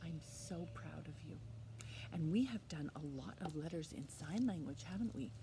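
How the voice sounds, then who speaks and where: A woman's voice: low-pitched, animated, normal speed, background noise. A woman presenting a children's storytime lesson, encouraging young listeners with simple step-by-step instructions.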